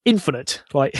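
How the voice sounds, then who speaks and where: Effusive man with a higher-pitched voice. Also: husky, dry recording, studio quality. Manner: effusive, emphatic, wordy. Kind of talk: casual enthusiastic chat.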